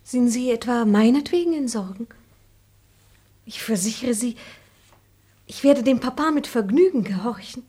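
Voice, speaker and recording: An actress playing an innocent, eager young daughter with a naive, sweet manner and a husky voice. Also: female voice, a little high, expressive, moderate pace, long pauses, mellow. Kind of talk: radio play acting.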